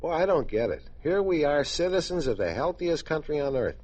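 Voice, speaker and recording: An American actor playing a jovial, opinionated husband in a vintage radio commercial, with folksy salesmanship. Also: male voice, on the high side, very animated, fairly quick, a little mellow. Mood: exasperated. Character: jovial, opinionated husband pitching savings bonds. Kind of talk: 1940s-50s radio commercial acting. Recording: muffled old radio broadcast recording.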